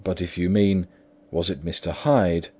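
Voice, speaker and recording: A man's voice: low-pitched, fairly even intonation, measured pace, a little mellow, husky. A man voicing a frightened, loyal old butler who speaks urgently and pleads emphatically. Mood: earnest. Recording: dull lo-fi recording.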